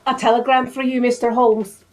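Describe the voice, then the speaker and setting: A woman's voice: on the high side, little pitch movement, brisk, very noisy, natural voice. A woman playing a polite, dutiful housekeeper in an audio drama. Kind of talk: radio-style audio drama acting.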